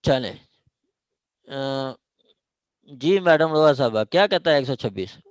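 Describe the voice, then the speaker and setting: A man's voice: mid-range voice, animated, slow, dry recording. A man with a Pakistani accent giving an informal law lecture, explaining step by step and repeating his phrases.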